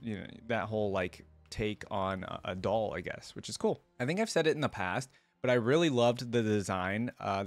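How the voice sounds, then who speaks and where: A man hosting a reaction video, mixing informal, rambling commentary with reading a story aloud. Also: on the low side. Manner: informal, rambling asides with frequent hedges.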